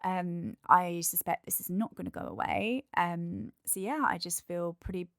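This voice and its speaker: Nasal, congested woman with gravelly tone. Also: fairly low pitch, steady tone, moderate pace, no room sound, studio quality. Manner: candid, chatty, lists points one by one. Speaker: podcast host. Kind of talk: casual solo podcast monologue.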